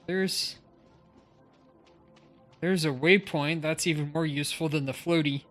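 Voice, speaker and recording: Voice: medium pitch, steady tone, measured pace, a bit bright, faint music behind. A game streamer giving casual live commentary and reading aloud.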